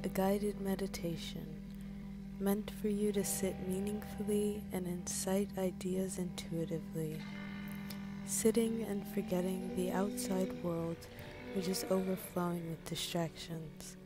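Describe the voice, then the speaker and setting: Loud music surrounds a woman's soft, soothing voice. She guides a meditation with slow, deliberate pacing and a medium pitch. Her delivery is gentle and breathy, giving scripted instructions almost in a whisper. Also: steady tone. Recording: voice over prominent music bed.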